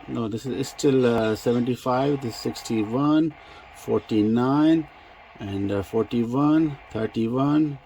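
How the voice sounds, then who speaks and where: A man giving a casual hands-on product demonstration as a review presenter, halting and thinking aloud as he goes. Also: lowish voice, gravelly, dark tone, slight background noise. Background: shortwave radio sounds.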